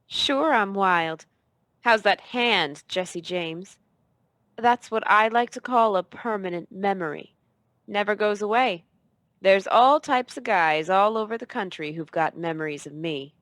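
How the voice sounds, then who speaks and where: Noir radio play: actress as tough female operative, medium pitch, sly, wisecracking and dangerous. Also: measured pace, a bit bright, no echo, natural voice. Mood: menacing. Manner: cool, brazen, wisecracking.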